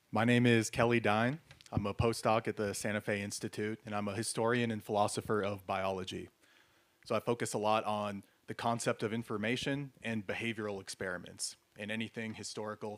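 A man introducing himself as a researcher on a stage panel, speaking in a measured way into a handheld mic. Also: lowish voice, fairly even intonation, no echo. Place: large hall stage before an audience.

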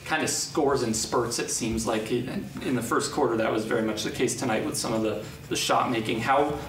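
Male sports reporter, mid-range voice. Heard far from the mic with clear background noise. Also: mid-range voice, normal speed, a bit bright. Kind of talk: spontaneous press conference questions. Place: indoor press conference room. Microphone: off-mic from across the room. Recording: echoey, distant, clearly noisy room.

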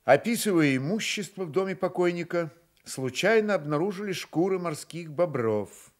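A man's voice: sits high, animated, moderate pace. A man reading scripted literary narration for a radio drama.

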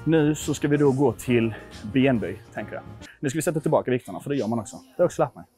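Male gym vlogger, twenties, from Sweden, average pitch, informal self-deprecating chat with swearing, clear music bed. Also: expressive, warm tone. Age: 20s. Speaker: vlogger testing his lifts at a gym. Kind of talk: casual vlog chat to viewers. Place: indoor gym.

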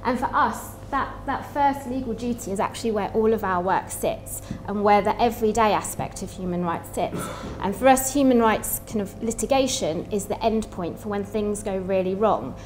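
A woman's voice: mid-range voice, normal speed, few pauses, soft background music. A woman in her thirties giving an explanatory seminar talk as a panelist, conversational in manner. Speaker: human rights organisation director, panelist. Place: indoor seminar room, seated at panel table.

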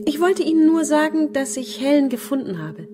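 A woman's voice: high voice, very animated, a little fast, background noise. An actress playing a deluded, imperious woman with an erratic, haughty manner in a crime radio play. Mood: eerily composed. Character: deluded, unstable young woman with split personality, imperious.

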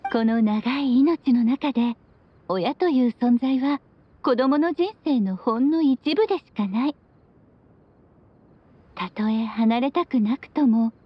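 A woman's voice: sits high, lo-fi sound. A woman playing a playful, loving, long-lived witch mother, speaking tenderly to her child like a storyteller in an anime game. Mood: wistful, loving. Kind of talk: Japanese anime game voice acting. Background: background music.

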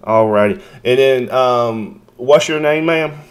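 A man with a medium-pitched voice talks on a phone call. He is polite and folksy as he makes his request. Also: slow pace. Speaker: citizen auditor making a records request by phone. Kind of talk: phone conversation.

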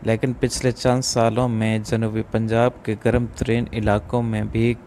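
A man calmly narrating his farm vlog in a measured, informative way. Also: fairly low pitch, a little hiss or hum. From Pakistan. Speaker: vlogger. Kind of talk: voice-over narration of a village vlog.

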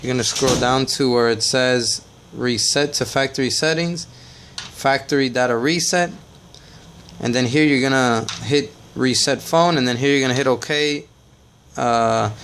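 A man's voice: mid-range voice, fairly bright tone, a little hiss or hum. A man hosting a casual tech tutorial, talking plainly step by step with frequent "uh" and "all right" fillers. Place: indoors at a table, off camera.

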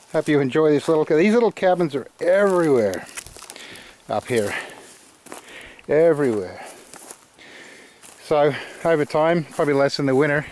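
A middle-aged Australian man giving a rambling, relaxed walking tour outdoors in the woods, full of fillers and restarts. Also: fairly high pitch, a little hiss or hum. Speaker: channel host. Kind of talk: casual walking tour vlog. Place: outdoors in rural woodland.

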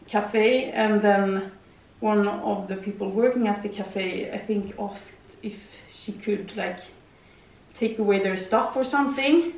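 A woman's voice: mid-range voice, darkish tone, far from the mic. A young woman, chatting casually and rambling while she does yoga in her living room. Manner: rambling, chatty, frequent "okay?" tags. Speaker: vlogger. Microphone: far camera. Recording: echoey room, voice from afar.

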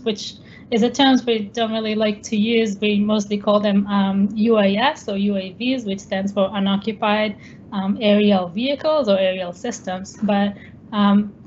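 A woman's voice: mid-range voice, darkish tone. Israeli-born woman lecturing over a video call. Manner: explanatory, many ums, slightly non-native phrasing. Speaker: guest scientist. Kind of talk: online presentation lecture. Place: home room. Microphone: laptop webcam video call. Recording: dull lo-fi video call with background noise.

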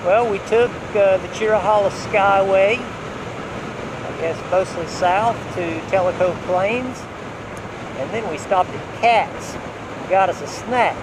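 A man narrating his motorcycle ride from a helmet mic in a relaxed, unhurried way, with wind and engine noise. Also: high voice. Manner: relaxed, unhurried, talks while riding. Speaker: motovlogger. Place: on a motorcycle outdoors. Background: road and wind noise.

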